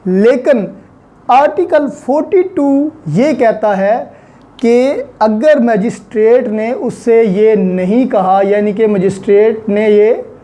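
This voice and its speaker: A man's voice: very high voice, warm tone. A man with a Pakistani accent giving an explanatory law lecture, didactic, restating his points and mixing in English terms.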